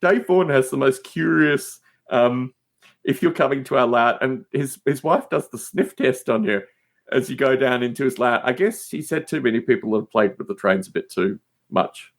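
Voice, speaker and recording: Male podcast guest, average pitch, conversational digressive storyteller, emphatic asides. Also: moderate pace. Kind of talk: casual anecdotal chat.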